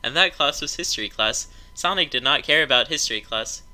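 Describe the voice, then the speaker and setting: Male storytime narrator, very bright forceful tone, reading comedy aloud to friends. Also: mid-range voice, expressive, fairly quick.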